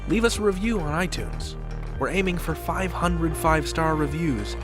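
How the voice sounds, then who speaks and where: A man hosting a podcast, reading a scripted outro and credits in a measured, theatrical announcer style. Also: on the high side, over music. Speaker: podcast host.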